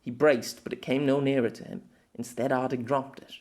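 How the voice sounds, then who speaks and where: An amateur audiobook reader giving an expressive narration of a scripted story. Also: mid-range voice, normal speed.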